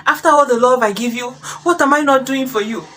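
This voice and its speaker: A woman acting out a furious, jealous wife who scolds her cheating husband in a shrill, accusing rant full of repeated questions and insults. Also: quick pace, a bit bright, slight background noise. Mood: accusing, hurt.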